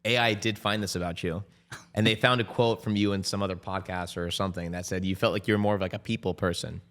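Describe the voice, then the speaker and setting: A man's voice: sits low, fairly even intonation, quick pace, fairly bright tone. A man hosting a podcast interview, asking questions in an eager, hesitant way and repeating words. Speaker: podcast host.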